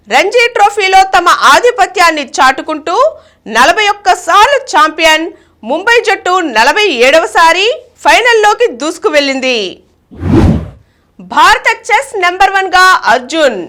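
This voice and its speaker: A woman's voice: extremely high pitch, sharp tone. A woman reading a scripted news bulletin as the news reader.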